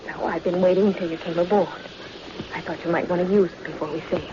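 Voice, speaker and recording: Actress as poised intrigue heroine, noisy old radio. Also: female voice, lowish voice, steady tone, fast talker, dull audio, lightly acted. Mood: gushing, sweet. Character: poised, dramatic leading lady of espionage intrigue. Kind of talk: 1940s radio drama acting.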